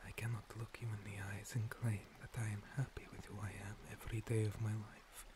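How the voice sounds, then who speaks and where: A man playing an ancient, gentle monster priest, a scarred former paladin, in a breathy, halting, soothing ASMR roleplay whisper. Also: lowish voice, level tone, noisy background, lightly acted. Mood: tender, wistful. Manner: slow, soothing, halting pauses. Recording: water streaming and pouring behind. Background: running water.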